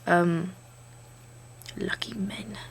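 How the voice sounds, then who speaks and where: A woman chatting casually in a halting way. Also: lowish voice, steady tone, gravelly, breathy edge. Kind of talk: casual chat.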